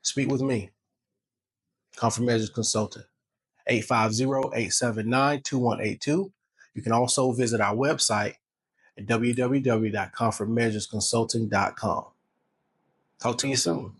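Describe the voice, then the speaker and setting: A man, a healthcare consultant, reading a promotional spot and pitching directly to the listener. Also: fairly even intonation, normal speed, long pauses, slightly rough. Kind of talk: promotional ad read.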